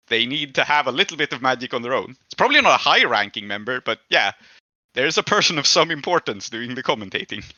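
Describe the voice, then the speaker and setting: Guy running a tabletop game, super sharp forceful voice, chatty narration, dry kinda lo-fi recording. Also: mid-range voice, no echo. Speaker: game master.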